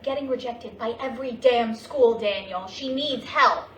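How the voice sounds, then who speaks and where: Recorded from far off in a noisy, echoing room, an actress plays an anxious wife and mother in a staged drama reading. Her voice sits fairly high for a woman. She speaks in a clipped, pointed way, sharp-tongued and grieving. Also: a little slow, lightly acted. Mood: heated, accusing. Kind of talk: staged play reading, naturalistic dramatic dialogue. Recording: distant, echoey room with clear background noise.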